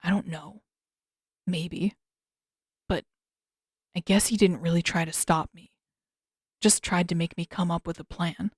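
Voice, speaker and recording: A woman's voice: on the low side, fairly even intonation, measured pace, pauses often, breathy edge, dry recording, clean studio sound. A woman voicing a stubborn, guarded teenage prince in a terse, offhand manner for a story reading. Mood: unsure.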